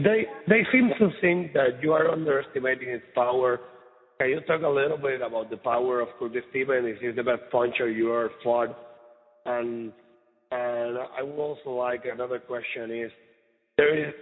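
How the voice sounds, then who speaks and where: A man's voice: mid-range voice, normal speed. A man asking direct questions as a reporter on a media conference call. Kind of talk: direct phone interview questioning. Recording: tinny phone-line conference call with reverb.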